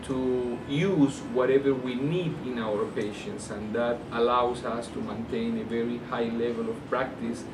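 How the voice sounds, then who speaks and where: A man with a Colombian Spanish accent gives unscripted interview answers as a surgeon. His pitch is average and his phrasing halts and repeats. He sounds set back from the microphone in a roomy space, with air-conditioning noise behind him. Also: steady tone, measured pace, few pauses. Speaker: orthopedic surgeon being interviewed. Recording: roomy, distant mic, air-conditioner hum.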